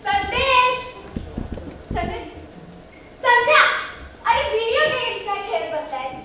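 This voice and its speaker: A woman's voice: very high-pitched, big pitch swings, slow pace, full character voice. A woman playing a bossy middle-aged mother scolding and commanding her grown son in a stage play. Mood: nagging. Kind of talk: theatrical stage acting. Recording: echoey stage hall from far, faint noise.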